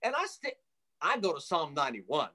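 A man's voice: a little high, huge pitch swings, moderate pace, sharp tone, breathy edge. A man from Jerusalem preaching conversationally as a radio guest, emphatic and exhortatory, driving points home with repeated phrases. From Jerusalem, of Armenian family. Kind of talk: fervent preaching in a conversational interview.

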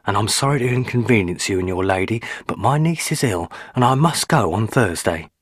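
A man's voice: fairly low pitch, expressive, brisk, slightly rough, dry recording, studio quality, voice pushed up. A man voicing an elderly, stubborn, superstitious rustic housekeeper woman who speaks in country dialect. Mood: ominous. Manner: rustic dialect grammar, hushed ominous.

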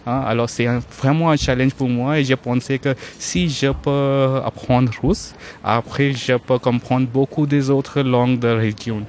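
North Indian man, Hindi native, halting non-native French, mid pitch, faint noise behind. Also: steady tone, darkish tone. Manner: hesitant learner's French, many restarts and fillers. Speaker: interview guest. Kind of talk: casual conversational interview.

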